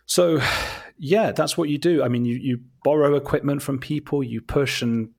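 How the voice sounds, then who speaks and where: A man with a husky voice, a guest telling casual, rambling anecdotes in a conversational, informal way, often interrupting himself. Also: mid-range voice, normal speed, a little mellow. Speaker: interview guest, documentary maker.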